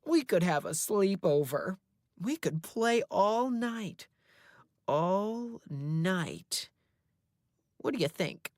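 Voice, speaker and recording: A woman's voice: mid-range voice, animated, drawn-out words, pauses often, darkish tone, no room sound, studio quality, voice pushed up. A woman voicing a possessive, menacing male creature that hides in a closet, who speaks in a coaxing, controlling way that turns into threats.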